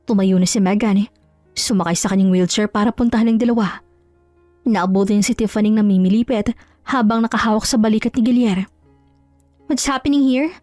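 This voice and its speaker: A woman's voice: medium pitch, steady tone, fairly quick, darkish tone, no echo, faint music behind. A woman narrating a scripted dramatic love story as a storyteller.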